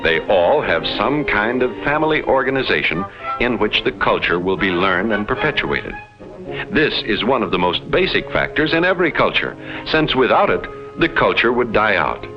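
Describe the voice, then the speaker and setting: A man playing a detached alien explorer, narrating in a formal, measured 1950s educational film style with precise enunciation. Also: on the low side. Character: detached alien explorer reporting on Earth's inhabitants. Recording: dull vintage 1950s film soundtrack with music.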